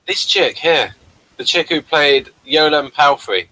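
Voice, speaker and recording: A man giving casual, running commentary on a TV episode as a review co-host, rambling and halting, often trailing off mid-sentence. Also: fairly high pitch, lively intonation, pushed and bright, rough recording.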